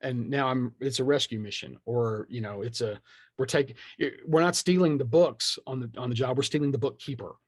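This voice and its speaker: A man's voice: mid-range voice, fairly quick, no room sound. Wry man chatting, close dry sound. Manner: animated storyteller, acts out imagined remarks. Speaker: guest, tabletop game writer. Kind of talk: casual conversational interview.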